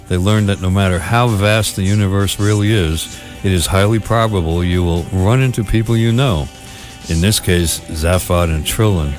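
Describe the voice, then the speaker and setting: Low male announcer, scripted radio-drama recap over soft music. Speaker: announcer, narrator.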